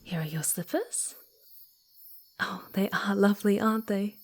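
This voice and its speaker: A woman's voice: medium pitch, a little slow, breathy edge. A woman voicing a playful, mischievous young female fox spirit in an ASMR roleplay, with a cutesy, giggly, teasing manner. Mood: warm, fussing.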